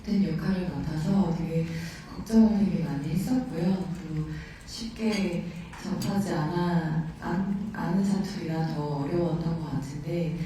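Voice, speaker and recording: An actress answering press conference questions in a gentle, modest way. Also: female voice, lowish voice, a little slow, hardly any pauses, a little hiss or hum. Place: hotel ballroom. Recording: echoey ballroom, distant mic.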